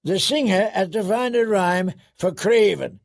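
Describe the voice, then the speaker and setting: A man's voice: sits high, measured pace, creaky, pitched above the performer's own voice. A man voicing a boisterous, crude wildling warrior chieftain in an audiobook, blustering and bawdy. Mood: bawdy, amused.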